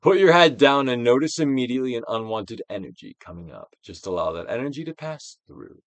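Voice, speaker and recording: A man giving a theatrical, Shakespearean-style dramatic reading of a self-help book, with deliberate pauses. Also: medium pitch, lively intonation, no echo. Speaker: audiobook reader, actor.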